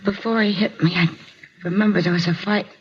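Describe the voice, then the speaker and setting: An actress playing a dazed, pained mugging victim in a 1950s radio drama, answering haltingly and repeating herself. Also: female voice, mid-range voice, steady tone, close to an everyday voice. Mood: weak, halting. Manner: halting, repetitive, confused short answers. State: in pain, weak. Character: dazed, hurting woman mugging victim, pleading. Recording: muffled, noisy 1950s radio recording.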